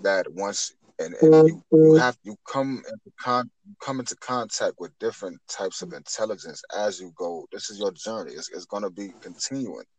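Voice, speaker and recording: A man in his twenties with a fairly low voice talks in an earnest, teacherly way about spiritual matters. He often repeats a phrase and rewords it as he works toward his point. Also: expressive, normal speed, hardly any pauses, a little mellow, poor audio. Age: 20s. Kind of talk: spiritual talk in conversation.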